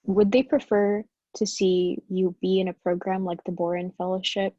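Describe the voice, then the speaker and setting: Husky-voiced woman on a dull video call. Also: mid-range voice, flat delivery, normal speed, dark tone, no echo. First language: Arabic. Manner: hesitant, many "like" fillers. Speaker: attendee asking a question.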